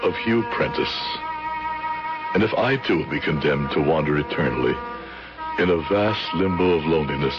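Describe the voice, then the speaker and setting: A man playing a grave, guilt-ridden older psychology professor in a solemn, deliberate 1970s radio drama style, on a vintage recording. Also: very deep voice, heavily acted. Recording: muffled vintage radio recording, music behind.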